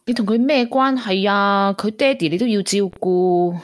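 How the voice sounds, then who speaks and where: An actress plays a jealous girlfriend with a Hong Kong accent. Her voice has a medium pitch, and she speaks in a pointed, sceptical way suited to radio drama. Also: moderate pace, lightly acted. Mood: suspicious. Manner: pointed, questioning. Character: jealous, sceptical woman questioning her boyfriend. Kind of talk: Cantonese radio drama acting.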